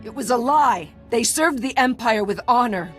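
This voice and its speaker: An actress voicing a proud, vengeful clan warrior in a video game, speaking in a formal, solemn and intense way. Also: female voice, a little high, animated, normal speed, faint music behind, close to an everyday voice. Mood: anguished, demanding. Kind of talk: dramatic video game acting.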